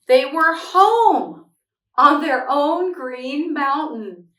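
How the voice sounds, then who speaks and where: High-voiced, slow-paced woman reading to children. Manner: expressive, simple explanatory phrasing for children. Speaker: children's read-aloud host. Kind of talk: picture book read-aloud and gentle talk to young children. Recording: echoey room, distant microphone.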